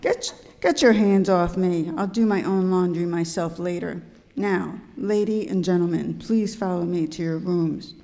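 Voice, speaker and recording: Bossy cowboy captain, male performer, amateur radio comedy, soft-edged tone, recorded in an echoing hall. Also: high voice, steady tone, unhurried, gravelly. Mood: indignant, bossy. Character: gruff bossy cowboy cruise ship captain. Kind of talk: amateur live radio skit acting.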